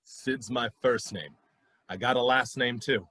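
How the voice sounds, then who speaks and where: An actor in a radio play as a gruff, skeptical, soft-hearted cabaret boss with a husky voice and a curt, sardonic manner. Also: male voice, medium pitch, unhurried, close to an everyday voice. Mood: businesslike, softening.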